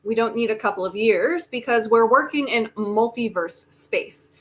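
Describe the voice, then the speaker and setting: A woman actor in a staged play reading, playing a wry, determined inventor with a deliberate, halting delivery. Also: a little high, fairly bright tone, a little hiss or hum, lightly acted. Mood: gentle insistence. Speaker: actor in a community theater reading.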